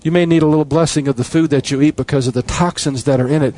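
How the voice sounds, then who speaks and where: A man preaching a sermon, his voice sitting a little higher than most men's. He hammers on repeated phrases with an emphatic cadence. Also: steady tone, fast talker, darkish tone, no echo.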